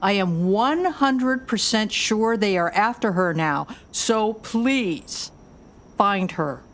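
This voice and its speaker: A woman's voice: medium pitch, lively intonation, slow pace. An actress playing a tough, blunt American record label boss and worried mother, middle-aged, in an audio drama. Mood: worried, urgent.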